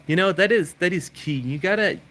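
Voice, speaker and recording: Bright-voiced man rambling through live Q&A. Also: high-pitched, brisk, a little hiss or hum. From Switzerland. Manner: rambling, repeats words, frequent restarts. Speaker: livestream host answering viewer questions. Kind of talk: casual live Q&A chat.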